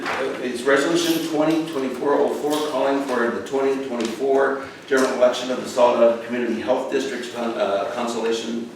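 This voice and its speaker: A man's voice comes from across a very echoey room with clear background noise. He chairs a board meeting in plain procedural talk, informal and halting, often correcting himself. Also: medium pitch. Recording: echoey meeting room, distant mic, noisy.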